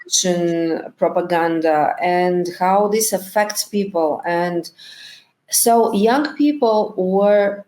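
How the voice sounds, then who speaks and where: Slow, thoughtful Bulgarian-native woman. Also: on the low side, a little mellow. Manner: slow, thoughtful, searching for words. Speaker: documentary director. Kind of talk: interview answers.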